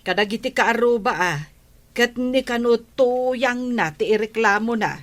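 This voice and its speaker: A woman's voice: on the high side, unhurried, natural voice. A woman playing an inquisitive, gossiping neighbour in an Ilocano radio comedy drama, with an Ilocano accent. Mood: curious.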